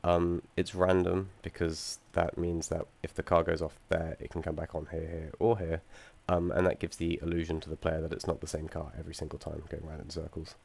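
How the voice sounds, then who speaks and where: A young man with a croaky voice from feeling unwell, explaining his game project and code with frequent ums and restarts and the odd dry aside. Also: very deep voice, completely flat delivery, fairly quick, few pauses, darkish tone. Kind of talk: screen-recorded code walkthrough.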